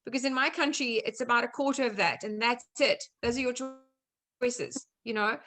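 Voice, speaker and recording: A woman's voice: a little high, moderate pace, a bit bright. A woman with a South African accent chatting informally and reassuringly as a guest nutrition coach on a video call. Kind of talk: casual online presentation and chat.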